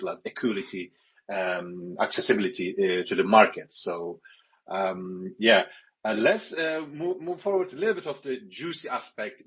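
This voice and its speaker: Guy hosting a panel, lowish voice, lots of uhs and ums. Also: fairly bright tone. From Turkey. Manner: halting, many filler sounds. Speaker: panel moderator. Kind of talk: online audio panel chat.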